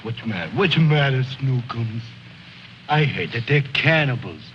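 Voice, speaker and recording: A man's voice: mid-range voice, expressive, normal speed, close to an everyday voice. Sardonic tough soldier played by a man, noisy soundtrack. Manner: taunting, sarcastic. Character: tough, sardonic soldier who needles his comrades. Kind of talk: 1950s war film acting. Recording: noisy, dull old film soundtrack.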